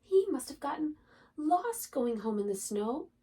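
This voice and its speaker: A woman voicing a small, friendly, excitable male rabbit in a children's storybook, squeaking and exclaiming eagerly. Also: a little slow. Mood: excited, concerned.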